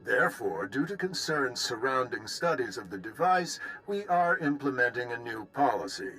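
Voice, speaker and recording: A man's voice: mid-range voice, expressive, normal speed, sharp tone, faint music behind, rough recording. An actor playing an officious male company director reading formal, bureaucratic staff announcements in a game. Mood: officious, smooth. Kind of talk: scripted public announcement.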